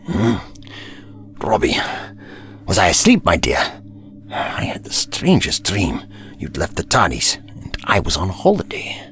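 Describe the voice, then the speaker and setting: Audio drama: eccentric, excitable male time traveller, played by a man, low-pitched, highly animated, theatrical. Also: unhurried, pauses often, exaggerated voice. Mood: bewildered.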